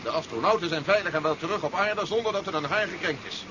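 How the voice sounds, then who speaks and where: A man's voice: on the high side, lively intonation, natural voice. A male actor playing an embattled army general with wry irony in a science fiction radio play. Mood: urgent, wary. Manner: brisk, dryly ironic. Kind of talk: 1970s science fiction radio drama acting. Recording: dull, noisy 1970s radio drama recording.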